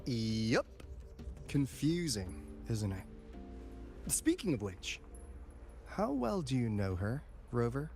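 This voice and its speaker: An actor playing a wry, casual academy insider with a teasing, expressive manner in a video game, with music behind him. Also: male voice, mid-range voice, takes it slowly, pauses often, heavily acted. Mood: wry, candid. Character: breezy, wry adult male academy insider briefing an auditor.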